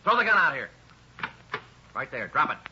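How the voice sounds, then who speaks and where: A man playing a terse, no-nonsense police detective in a deadpan, clipped 1950s radio style. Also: on the high side, normal speed. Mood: commanding. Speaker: actor, narrator and lead of a radio police drama. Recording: dull, noisy 1950s radio recording.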